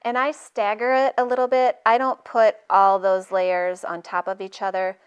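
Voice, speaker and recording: A woman's voice: medium pitch, little pitch movement, normal speed, clean tone, dull audio. A middle-aged woman hosting a live sewing tutorial in her studio, chatting casually and joking at her own expense as she works. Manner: chatty, self-deprecating, jokey asides.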